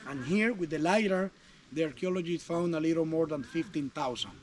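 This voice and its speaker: High-voiced tour guide. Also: male voice, a little fast. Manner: explanatory, chatty with restarts. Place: outdoors at a jungle archaeological site. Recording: faint outdoor noise.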